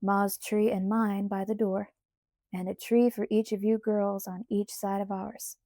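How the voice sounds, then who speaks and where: A woman voicing a homesteading father in an audiobook, a steady, plain-spoken and insistent family man. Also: medium pitch, measured pace, no room sound, clean studio sound, lightly acted. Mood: calm, instructing. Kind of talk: audiobook character voice.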